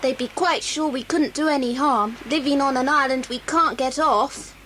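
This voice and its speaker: A woman's voice: high-pitched, moderate pace, bright, forceful tone, slight background noise. An actress playing a timid, clinging little girl in a children's audio drama. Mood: fearful, subdued.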